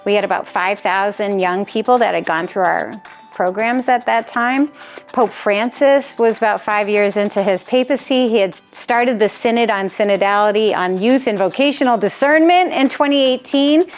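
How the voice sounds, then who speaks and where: A woman's voice: mid-range voice, moderate pace, faint music behind. An American woman in her forties speaking informally and with some self-deprecation as she presents to a small group in a conversational way. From Massachusetts, United States. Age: 40s. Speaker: presenter, nonprofit president.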